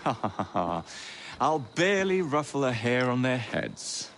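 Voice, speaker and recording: Lively young English rogue. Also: male voice, mid-range voice, measured pace, very noisy. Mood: cocky, amused. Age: young adult. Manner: wry, gallant, understated. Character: cocky, courteous young Victorian London rogue and escort. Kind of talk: video game cutscene acting. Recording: music over the dialogue.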